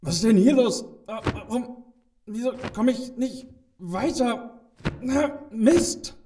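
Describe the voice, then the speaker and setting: A man's voice: very high voice, slow pace, dark tone, recorded from a distance, slight background noise. A man playing a flustered, blustering impostor Santa who stammers, in a children's audio play. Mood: panicked, indignant.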